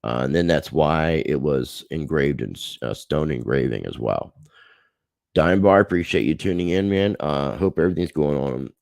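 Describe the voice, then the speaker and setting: A man's voice: a bass voice, moderate pace, gravelly, darkish tone, no echo, clean studio sound. A man hosting a livestream, chatting casually and earnestly to his viewers. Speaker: livestream host.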